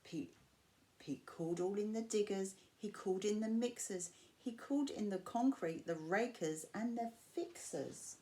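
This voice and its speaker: A woman reading a rhyming picture book aloud to children, with measured, expressive phrasing and drawn-out key words. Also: medium pitch. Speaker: storyteller reading to school pupils. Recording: clear background noise.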